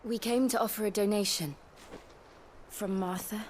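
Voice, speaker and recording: A woman's voice: medium pitch, fairly even intonation, normal speed, a little mellow, slight background noise, close to an everyday voice. A woman playing an earnest, thoughtful fantasy companion in a video game, serious and questioning. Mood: earnest, concerned.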